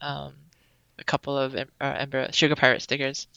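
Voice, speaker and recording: A woman's voice: a bass voice, monotone, fairly quick, gravelly, sharp tone, smooth, no room sound, highs cut off. A young woman from Singapore, a guest on a podcast, chatting casually with many ums and easy asides. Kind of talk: casual interview chat. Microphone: remote recording.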